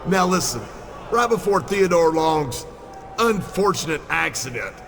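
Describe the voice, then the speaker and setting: A man's voice: fairly high pitch, very animated, measured pace, vocal fry, fairly bright tone, echoey room, slight background noise, exaggerated voice. A man playing a smug, boastful rich wrestling champion in a video game, talking in a folksy, pompous, mock-modest way. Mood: smug, insinuating. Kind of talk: video game cutscene acting.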